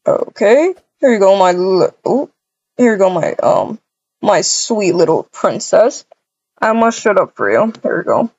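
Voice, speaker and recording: A woman with a husky voice improvising a family roleplay in a halting, repetitive way, full of cooing endearments. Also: mid-range voice, expressive, darkish tone. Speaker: roleplay video creator voicing all characters. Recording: dull, lo-fi microphone sound.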